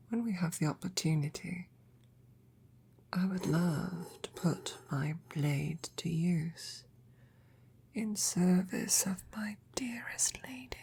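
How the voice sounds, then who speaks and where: A woman playing a devoted, tenderly teasing royal knight in an intimate roleplay audio, with breathy, often whispered, courtly speech. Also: lowish voice, a little mellow, a little hiss or hum. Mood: earnest, devoted. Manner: slow, tender, courtly phrasing.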